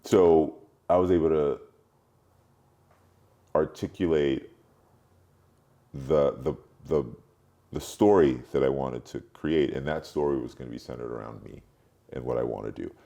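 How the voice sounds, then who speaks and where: A man in his late forties who grew up in Europe, speaking reflectively as an interview guest, haltingly, thinking aloud and repeating words. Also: a bass voice, gravelly, warm tone, highs cut off. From Europe, mostly France, born in Cameroon. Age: late 40s. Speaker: podcast guest, marketing strategist.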